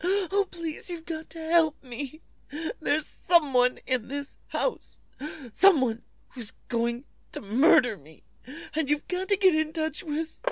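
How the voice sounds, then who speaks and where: An actress playing a terrified, desperate wife pleading breathlessly and haltingly in an old-time radio thriller. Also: female voice, sits high, no room sound, heavily acted. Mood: tearful pleading. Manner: breathless, halting, repeating herself.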